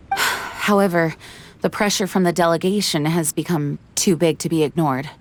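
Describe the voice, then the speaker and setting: A woman playing a dutiful knight commander in a game cutscene, measured and formal, sometimes sighing. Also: on the low side, natural voice. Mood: earnest.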